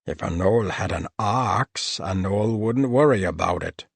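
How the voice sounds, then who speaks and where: A man's voice: on the low side, a little slow, vocal fry, husky, no echo, heavily acted. A man voicing a blunt male gnoll warrior who speaks in clipped broken phrases and refers to himself in the third person. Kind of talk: audiobook character voice.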